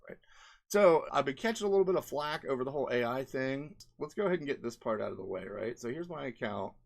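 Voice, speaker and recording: A man's voice: medium pitch, gravelly. A man narrating a casual screen-share vlog as an indie game developer, rambling conversationally and often checking in with "right?" and "you know".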